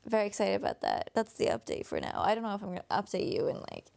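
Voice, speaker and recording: A tired woman with a raspy voice, a Danish native speaker from Denmark, chatting through a casual book review with lots of fillers and restarts. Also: mid-range voice, huge pitch swings, fast talker, a little mellow. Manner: rambling, many fillers and restarts. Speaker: book vlogger.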